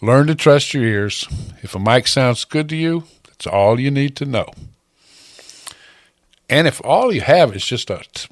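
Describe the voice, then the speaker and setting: An American man narrating an instructional hobby slideshow in a plainspoken, folksy, deliberate way, close on a broadcast-style microphone. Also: medium pitch, no echo. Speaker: amateur radio hobbyist presenter.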